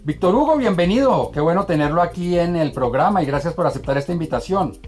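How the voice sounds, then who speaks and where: A man's voice: a little high, expressive, fast, darkish tone. A Colombian man hosts in a courteous, polished presenter's style. His intonation moves widely, and music plays faintly beneath him. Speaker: TV program host. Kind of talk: presenting and interviewing.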